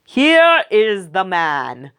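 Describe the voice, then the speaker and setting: A woman's voice: mid-range voice, wildly swooping pitch, extremely slow, fairly bright tone, clear, resonant tone, full character voice. A woman voicing an uneasy male Roman governor in a read-aloud scripture story, raised and questioning.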